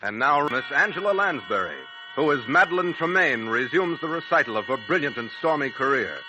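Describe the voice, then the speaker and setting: Portentous vintage radio announcer, higher-pitched man. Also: lively intonation, muffled recording. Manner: dramatic, measured, portentous. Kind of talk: 1940s radio announcing, scripted. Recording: old 1940s radio recording, music behind.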